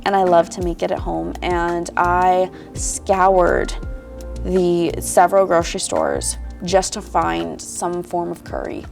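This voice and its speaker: A woman's voice: lowish voice, animated, slow pace, creaky, a little mellow, soft background music. A young woman student chatting casually about her time abroad, with lots of "like" fillers. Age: young adult. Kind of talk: casual chat.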